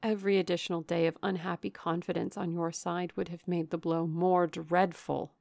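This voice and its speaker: Earnest, solemn young gentlewoman played by a woman. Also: fairly low pitch, flat delivery, moderate pace, gravelly, no room sound. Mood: earnest consoling. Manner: solemn, urging. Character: grave, caring elder sister, restrained and earnest.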